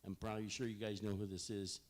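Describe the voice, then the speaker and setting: A man, giving a loud, rambling pitch to a city board with many fillers and restarts. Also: flat delivery, quick pace. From Rio Grande Valley, Texas. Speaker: business presenter at a board meeting. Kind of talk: public comment speech. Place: city board meeting room.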